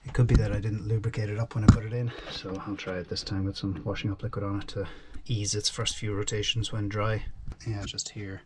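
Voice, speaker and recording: A man with a low, level voice talks through his plans in a relaxed vlog style, working things out aloud and often doubling back on words. He records aboard a small boat, and a steady layer of noise sits behind him. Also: fairly quick, few pauses. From Ireland. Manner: methodical, thinking aloud, repeats words. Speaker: solo sailor vlogger. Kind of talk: casual explanatory vlog narration. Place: small boat cabin and engine bay.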